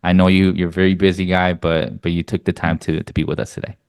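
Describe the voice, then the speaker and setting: A male podcast host with a very deep, smooth voice. He asks friendly, loose questions full of "you know"s. The sound is dry and close. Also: level tone, fast, a little mellow, dry recording. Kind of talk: casual interview.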